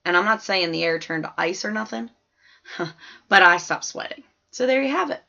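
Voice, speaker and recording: A woman's voice: medium pitch, lively intonation, moderate pace, gravelly, bright, forceful tone. Casting: woman to play an observant, plain-talking fourth-grade girl narrating an audiobook, folksy and earnest, mid-range voice, slightly lo-fi sound. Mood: chatty, matter-of-fact. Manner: chatty, folksy, emphatic childlike storytelling. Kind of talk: scripted first-person story narration.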